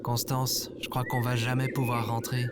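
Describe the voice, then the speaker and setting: A stubborn, exasperated self-appointed king of a deserted planet, acted by a man. He plays it in an everyday voice for audio comedy, with loud noise around him. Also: medium pitch, monotone, moderate pace, lightly acted. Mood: dejected. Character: stubborn, exasperated self-styled ruler of empty Earth. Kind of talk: comedic audio fiction acting.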